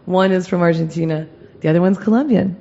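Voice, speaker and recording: A woman's voice: on the low side, fast talker, vocal fry, mellow. A woman co-hosting a casual podcast, telling an anecdote in a light, joking way with frequent laughter. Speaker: podcast co-host. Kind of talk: casual chat. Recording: dull lo-fi podcast audio.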